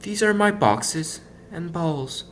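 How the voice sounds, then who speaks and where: A small wooden storybook fellow speaks up in a lifted, little voice, as a man acts it out. He is meek and weary. His words come quietly and hesitantly, as if he were ashamed of himself. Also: slow pace, slight background noise. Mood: sheepish. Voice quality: small, higher voice.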